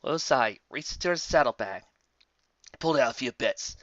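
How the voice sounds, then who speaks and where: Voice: medium pitch, lively intonation, normal speed, fairly bright tone, no echo. A narrator reading a scripted story in a dramatic storytelling manner, occasionally stumbling over words. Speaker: fan fiction narrator. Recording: slightly dull home recording.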